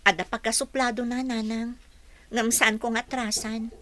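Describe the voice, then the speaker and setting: A woman's voice: on the high side, level tone, normal speed, pushed and bright, slight background noise. A woman with an Ilocano accent playing a determined, earnest young employee in a radio drama.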